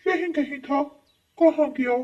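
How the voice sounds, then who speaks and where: A man voicing an excitable, feisty male parrot in a children's cartoon, with a squeaky voice. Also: a little slow, recorded from a distance, lo-fi sound. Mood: eager. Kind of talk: Hindi cartoon story acting.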